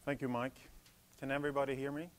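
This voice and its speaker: A man from Sweden with a Swedish accent, English not his first language, giving a technical conference presentation in a halting way with many restarts. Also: mid-range voice, level tone, some chatter behind. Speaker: conference speaker. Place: conference hall.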